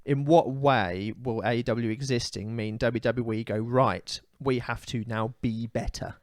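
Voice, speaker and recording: A man's voice: mid-range voice, steady tone, a little slow, no echo. Opinionated man ranting on a dry podcast mic. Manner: opinionated, ranting, many fillers. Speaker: podcast co-host. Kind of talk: casual comedic podcast chat.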